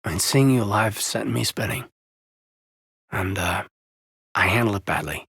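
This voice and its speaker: A man playing a loyal, earnest soldier in a video game, with a husky voice and a measured, sincere delivery that has hesitant pauses. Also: lowish voice, fairly bright tone, no room sound, clean studio sound, full character voice. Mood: tender, regretful. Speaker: video game character, military officer. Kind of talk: cinematic video game dialogue acting.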